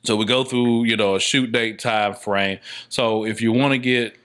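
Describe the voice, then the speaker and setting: Bright, forceful man explaining his craft. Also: medium pitch, steady tone, normal speed. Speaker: podcast guest, filmmaker and playwright. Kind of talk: casual explanatory interview talk.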